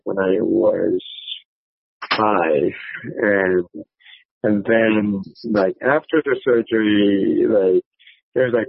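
A man in his thirties from South Korea with a Korean accent, giving halting, conversational interview answers peppered with filler words. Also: deep voice, creaky, slightly rough, no echo. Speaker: podcast guest, company executive. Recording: thin, narrow phone-like line.

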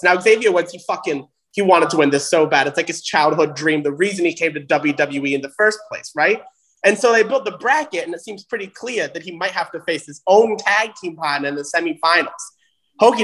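A man hosting a comedy wrestling show, ranting with heavy profanity and excitement. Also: high-pitched, low-quality recording. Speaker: host.

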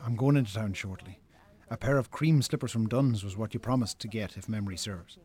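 Husky, chiding Irish man. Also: lowish voice, brisk, darkish tone. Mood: reproachful. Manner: dry, chiding. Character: exasperated, reproachful brother of a drinker. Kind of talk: naturalistic radio drama acting.

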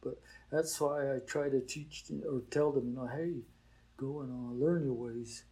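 An older man, a boarding-school teacher, talking in a relaxed, reflective way in an interview, with frequent "you know" fillers. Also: medium pitch, measured pace, rough recording. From Oklahoma.

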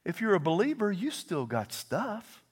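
Southern guy preaching, middle-aged, goes from quiet asides to yelling it out. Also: sits high, normal speed, husky. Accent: American Southern. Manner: swings from hushed asides to shouted proclamation; animated storytelling with quoted voices. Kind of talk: sermon.

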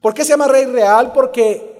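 High-voiced Salvadoran pastor preaching. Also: male voice, normal speed, a little mellow, big-room sound. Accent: Salvadoran Spanish. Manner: builds to emphatic preaching, quizzes the congregation. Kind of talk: sermon with personal story.

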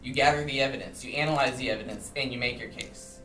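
A man's voice: mid-range voice, quick pace, sharp tone. A man in his thirties presents a seminar talk in a roomy, echoing space. He is somewhat distant from the mic, with music faintly behind him. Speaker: seminar speaker. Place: indoor seminar room.

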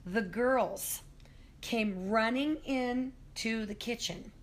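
Hoarse middle-aged woman rambling through a spooky story at home, recorded on a phone. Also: on the high side, slow. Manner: rambling, with many "um"s and self-corrections. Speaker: guest storyteller. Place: apartment kitchen at home.

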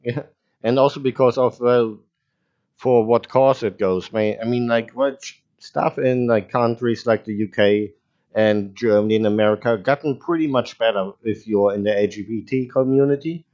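A man with a mid-pitched voice hosts a casual podcast chat. He phrases things loosely and hesitantly. The recording is slightly dull and lo-fi. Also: normal speed, a little mellow. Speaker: podcast host.

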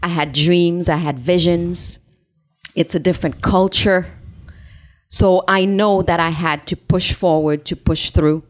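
Low-voiced woman telling her story. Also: fairly even intonation. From Haiti. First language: Haitian Creole. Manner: earnest, measured storytelling. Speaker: interview guest, business founder.

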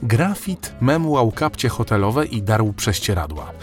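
A man narrating a scripted children's story in an expressive, wry way. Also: medium pitch, unhurried. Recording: faint music behind narration.

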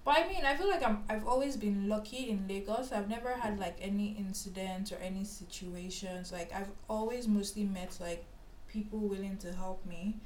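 A woman from Nigeria telling a story in casual, animated chat, with lots of "like" and "you know". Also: medium pitch, moderate pace. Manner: chatty, animated, filler-heavy storytelling. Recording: roomy echo with faint background hiss.

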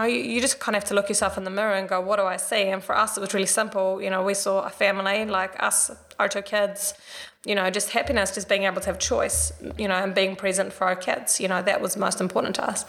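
A woman's voice: medium pitch, level tone, brisk, gravelly, a bit bright. A middle-aged woman from Sweden with a Swedish accent, answering interview questions in a chatty, casual way full of "like" and "you know". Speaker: interview guest, business founder.

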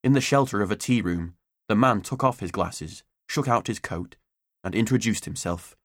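Low-voiced man narrating a story. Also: normal speed, slightly rough, dry recording, studio quality. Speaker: audiobook narrator.

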